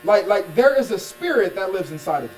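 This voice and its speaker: A man hosting a casual podcast, talking emphatically and profanely and often agreeing with a quick "facts". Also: high-pitched, big pitch swings, a little mellow. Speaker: podcast host. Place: barbershop. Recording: distant mic with electric shaver hum.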